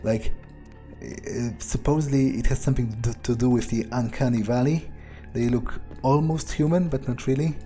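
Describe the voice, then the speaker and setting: A man playing a foul-mouthed, sardonic vampire, musing aloud with wry, hesitant pauses as he plays a video game. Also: mid-range voice, warm tone, natural voice. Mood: uneasy musing. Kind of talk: in-character playthrough narration, musing aloud.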